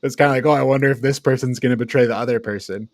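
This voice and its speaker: A man's voice: mid-range voice, fairly even intonation, rapid-fire, dry recording, studio quality. A man hosting a casual film discussion, talking in a critical, exasperated way with mocking asides. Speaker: podcast host leading a film discussion. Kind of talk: casual review chat.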